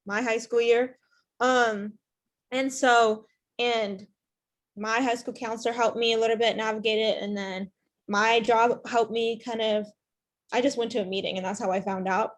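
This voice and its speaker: Young woman from Ethiopia, non-native English speaker; earnest panelist voice, halting with fillers. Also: fairly high pitch. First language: not English. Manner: earnest, many fillers and restarts. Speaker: panelist with foster care experience. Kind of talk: panel discussion.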